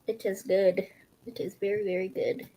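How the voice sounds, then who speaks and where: A woman's voice: mid-range voice, little pitch movement, normal speed, dark tone, slight background noise, low-quality recording. A woman co-hosting a livestream in casual, chatty talk. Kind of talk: casual chat.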